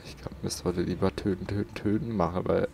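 A man's voice: sits low, steady tone, quick pace, vocal fry, a little mellow, slight background noise. A man doing casual let's play commentary and reading in-game notes aloud, close to the microphone.

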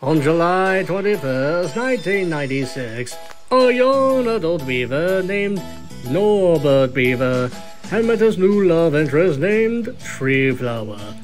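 A man's voice: a little high, sing-song, slow pace. A man reading scripted storybook-style narration of a cartoon story in a theatrical, presenting manner. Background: music behind the voice.

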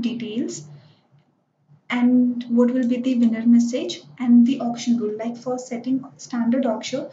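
Woman walking through software settings. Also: a little high, slight background noise. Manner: explains step by step, with frequent "so" and "like". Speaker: software tutorial presenter. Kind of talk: screen-recorded software walkthrough. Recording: dull, roomy screencast voice-over.

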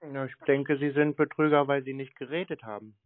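Male, deadpan phone prankster, teasing and casual, medium pitch, thin telephone sound. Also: fairly quick, dry recording. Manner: deadpan, dryly provocative. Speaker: scam-baiter taking phone calls. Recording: narrow phone-band call audio.

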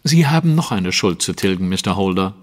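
An actor playing a composed, commanding Victorian detective in a German audio drama, speaking precisely and with theatrical authority. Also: male voice, low voice, expressive, a little fast, long reverb tail, a little hiss or hum. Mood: stern. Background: music.

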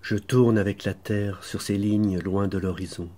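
A man reciting literary poetry in a measured, contemplative manner, with deliberate pauses between short phrases and a husky voice. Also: lowish voice, fairly even intonation, warm tone. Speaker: poet reading own poems.

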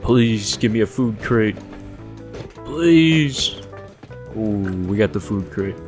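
A young man with a deep voice giving casual, joking commentary while playing a survival game, swearing often as he thinks aloud. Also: animated, takes it slowly, darkish tone, soft background music.

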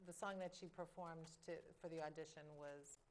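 A woman's voice: deep voice, fairly even intonation, normal speed, a little hiss or hum. A middle-aged woman, co-chair of an event, talking it up on a local show in an upbeat promotional tone. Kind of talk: local cable talk show chat. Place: studio set against an outdoor backdrop. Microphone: lapel mic.

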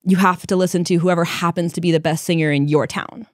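A woman in her thirties hosts an interview, speaking thoughtfully and clearly. Her voice sits a little low. The studio mic sound is dry and close. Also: fairly even intonation, fast talker, dry recording. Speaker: podcast host. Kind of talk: casual interview conversation. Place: dark podcast studio. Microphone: studio mic on boom arm, headphones.

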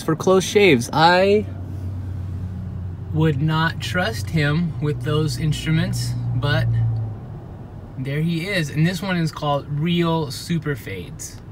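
A man's voice: a little high, a little hiss or hum. An older man vlogging outdoors as he walks a city street, talking in a chatty, musing way and often breaking off to rethink his words. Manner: chatty, musing, self-interrupting. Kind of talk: casual walking vlog.

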